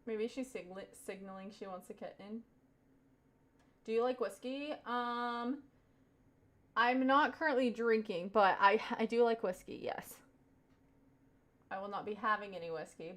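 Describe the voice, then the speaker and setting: A woman's voice: medium pitch, normal speed, fairly bright tone. A young woman from Kentucky with a light Southern US accent, live-streaming, chatting casually, and thanking her viewers over and over. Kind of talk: casual chat reading viewer comments.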